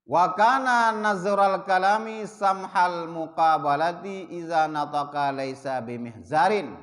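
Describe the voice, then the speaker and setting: A man with an Acehnese accent teaching a religious study group, slowing down to chant Arabic passages and asking listeners rhetorical questions. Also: fairly high pitch, long reverb tail. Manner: mixes plain explanation with slow chanted Arabic readings; asks the audience rhetorical questions. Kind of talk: kitab study lesson. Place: prayer hall.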